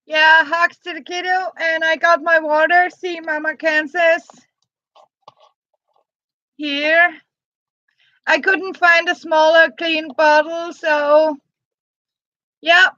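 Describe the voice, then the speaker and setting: A woman's voice: very high-pitched, level tone, slow, pauses often, pushed and bright, no echo, dull audio. A Danish woman from Jutland, hosting a live stream in English as a second language, chatting casually with viewers, reading their comments aloud and often restarting her words. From Jutland, Denmark.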